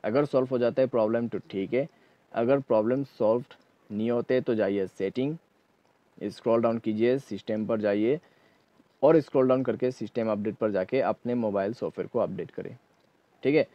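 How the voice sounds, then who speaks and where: A man with a mid-pitched voice talks listeners through phone steps as a tutorial host. He is instructional and often checks that they follow. The voice-over sounds dull and lo-fi. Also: normal speed, dry recording. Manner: instructional, repeatedly checking in with "okay". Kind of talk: spoken step-by-step phone tutorial.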